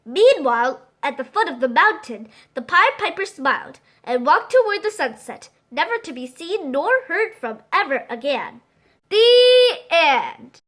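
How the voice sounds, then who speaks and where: High-pitched, animated woman storyteller. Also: measured pace. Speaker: children's storybook reader. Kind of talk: scripted read-aloud narration for children.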